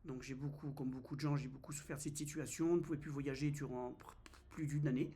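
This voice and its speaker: A man's voice: medium pitch, steady tone, fast, dark tone, breathy edge. A man in his fifties from France, vlogging in a casual, hesitant way with many fillers and restarts. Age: 50s. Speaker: travel vlogger. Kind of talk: casual first-person vlog.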